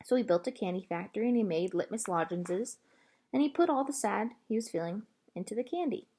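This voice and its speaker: A woman plays an earnest young girl from a storybook. She is eager and stubborn, frank and polite, with animated rises and falls in pitch. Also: a little fast, breathy edge.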